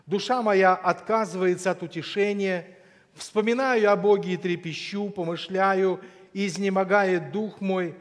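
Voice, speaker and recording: High-voiced man preaching in a reverberant hall. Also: steady tone. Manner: solemn, deliberate, with quotations and scripture; frequent throat clearing. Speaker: preacher closing a church conference. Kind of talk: sermon.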